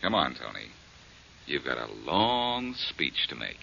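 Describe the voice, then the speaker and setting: A man's voice: a bass voice, big pitch swings, measured pace, gravelly, bright, forceful tone, slightly rough, heavily acted. An actor playing a tough, sardonic male detective who threatens and wisecracks, in 1940s radio drama style. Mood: menacing. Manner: menacing, sardonic. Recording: dull old radio broadcast.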